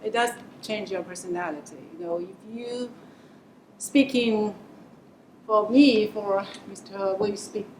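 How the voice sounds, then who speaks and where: A woman speaking English as a second language on a panel, halting and thoughtful as she works out her phrasing. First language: not English. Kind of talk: panel discussion. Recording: echoey room with audible rumble.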